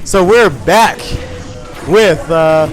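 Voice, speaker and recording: A man's voice: sits high, extremely slow. An American man commentating at an esports tournament in a casual, rambling, informal way. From United States. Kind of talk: casual banter between game commentary. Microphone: event commentary mic. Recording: music behind live tournament commentary.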